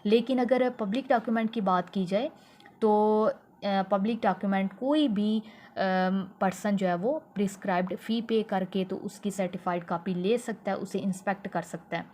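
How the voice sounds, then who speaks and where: A woman with a Pakistani accent giving an explanatory law lecture, slowing down to read English definitions and then explaining them plainly. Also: medium pitch, a little mellow, slight background noise. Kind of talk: explanatory lecture mixing in English legal terms.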